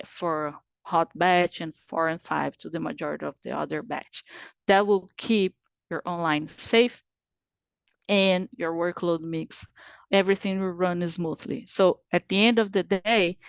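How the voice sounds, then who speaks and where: A woman's voice: fairly low pitch, clean tone, dry recording, highs cut off. A Brazilian woman, whose first language is Portuguese, gives a technical conference presentation over a video call, explaining carefully with frequent "uh" fillers.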